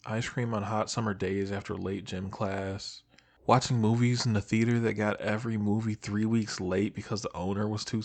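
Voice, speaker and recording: Guy acting as a shy young man, your old best friend, low voice, casual n hesitant, audio roleplay. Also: vocal fry. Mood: nostalgic. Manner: hesitant, casual, soft-hearted, trailing off. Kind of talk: intimate audio roleplay to the listener.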